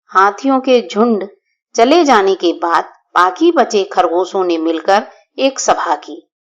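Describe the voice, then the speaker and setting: A woman's voice: mid-range voice, lively intonation, slow, muffled recording. A woman storyteller reading a scripted children's tale in a formal, careful manner.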